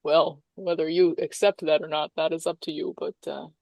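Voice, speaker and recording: A woman's voice: low voice, flat delivery, moderate pace, vocal fry, a little mellow, no room sound. A woman playing a plain-spoken, worried local lawman briefing a colleague. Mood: matter-of-fact.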